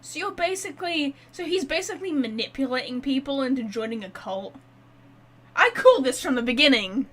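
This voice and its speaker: A woman's voice: high-pitched, lively intonation, fairly quick, a bit bright. A woman giving casual game playthrough commentary, chatty and reactive, thinking aloud. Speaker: gamer, streamer. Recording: faint background noise.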